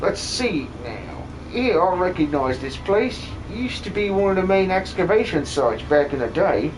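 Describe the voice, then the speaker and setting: A man's voice: high voice, very animated, a little slow. A man voicing a cowardly, cheeky miner with a Cockney accent and slangy, theatrical delivery in a video game fan dub. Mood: helpful, chatty. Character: cowardly, cheeky working-class male miner, grumbling and excitable. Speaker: streamer voice acting game characters. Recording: clearly noisy background.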